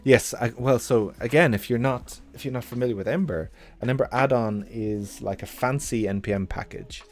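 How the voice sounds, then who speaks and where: A man's voice: medium pitch, normal speed, soft background music. A man in his thirties with a mild Irish accent, chatting in a rambling, jokey way to his live-stream chat. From Ireland. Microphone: good desk mic at computer.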